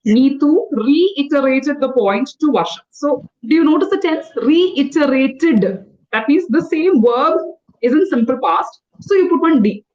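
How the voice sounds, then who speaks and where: A high-pitched woman coaches a learner through a one-on-one lesson. She is patient and corrective and spells words out letter by letter. The sound is dull and lo-fi, like call audio. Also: lively intonation, a little slow, few pauses, darkish tone. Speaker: English trainer.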